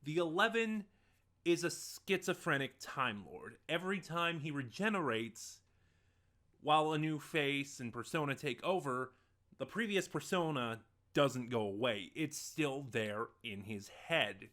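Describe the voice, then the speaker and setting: A man's voice: on the high side, lively intonation, unhurried, sharp tone. A man giving a casual, unscripted review as host, talking in a rambling, hesitant way and often correcting himself. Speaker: online reviewer of audio dramas, host.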